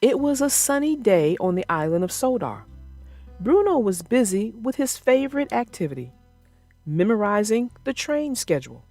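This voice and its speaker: Casting: expressive woman for children's read-aloud narration, lively and high-pitched. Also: moderate pace, dry recording, faint music behind. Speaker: storybook narrator.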